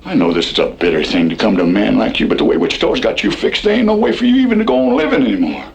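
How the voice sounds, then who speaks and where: An actor playing a folksy, fretful Western old-timer in a radio drama, with a gravelly drawl and an admonishing, pleading manner. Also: male voice, fairly low pitch, wildly swooping pitch, racing, exaggerated voice. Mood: bitter, resigned. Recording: faintly noisy old radio recording.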